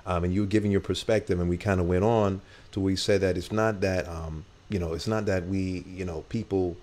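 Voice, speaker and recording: A man's voice: low-pitched, fairly even intonation, brisk, a little mellow. A man hosting a casual talk show, rambling with many fillers as he asks questions. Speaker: host.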